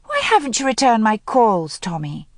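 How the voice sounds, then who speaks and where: A woman's voice: on the high side, huge pitch swings, moderate pace, no echo, studio quality, voice pushed up. A woman voicing a spirited aristocratic woman who speaks earnestly and presses hard with her questions, read as an audiobook character. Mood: pressing. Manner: earnest and pressing, rising in exasperation.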